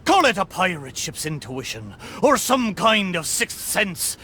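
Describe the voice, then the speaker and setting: An actor playing a boastful, hearty talking pirate ship in a video game, with exclamatory, theatrical pirate talk. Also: male voice, sits high, big pitch swings, unhurried, pushed and bright, husky, exaggerated voice. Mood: hearty, persuasive. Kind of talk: video game character acting.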